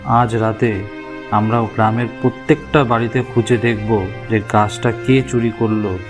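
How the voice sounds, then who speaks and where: A man's voice: fairly low pitch, steady tone, a little slow, music in the background, natural voice. A man voicing a humble, earnest village fruit seller in a moral-story cartoon. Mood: resolved.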